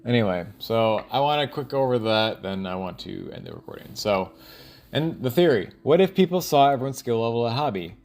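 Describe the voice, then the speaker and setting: A man thinking aloud in a rambling, casual audio log, halting and often trailing off mid-thought. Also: mid-range voice, animated, creaky, a little mellow. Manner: casual, profane.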